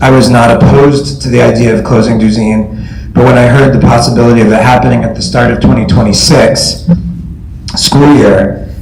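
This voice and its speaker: A man with a scratchy voice, a teacher and parent, speaking at a school board meeting in a measured, halting way. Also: medium pitch, creaky. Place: meeting room. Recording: roomy meeting hall audio, faint noise.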